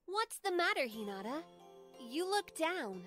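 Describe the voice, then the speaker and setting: A perky young anime woman, played by an actress, encouraging a friend. Her high voice moves around in lively, coaxing swings, with loud cartoon music behind her. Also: unhurried, full character voice. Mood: concerned, encouraging. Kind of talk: animated cartoon dub acting.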